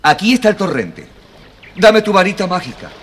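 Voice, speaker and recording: An actor playing a crafty, teasing young musician hero in a children's storybook recording. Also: male voice, high-pitched, sing-song, normal speed, fairly bright tone, husky, heavily acted. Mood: mock-polite. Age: young adult.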